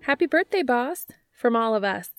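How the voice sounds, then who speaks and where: A woman voicing an excitable, teasing young female bookstore worker in a story, gushing and playful. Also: on the high side, moderate pace, dry recording, faint music behind, voice pushed up. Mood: cheerful.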